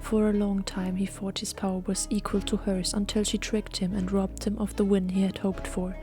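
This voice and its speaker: A woman reading scripted fantasy audio play narration in a solemn, measured storytelling manner. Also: mid-range voice, level tone. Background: music.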